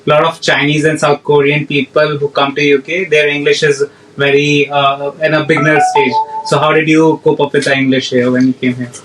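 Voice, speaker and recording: A man hosting a relaxed podcast interview, slightly high-pitched and very flat in delivery. He hesitates often and fills pauses with "uh". He sounds set back from the microphone. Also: distant mic, slight background noise, poor audio. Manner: hesitant, many fillers. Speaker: podcast host.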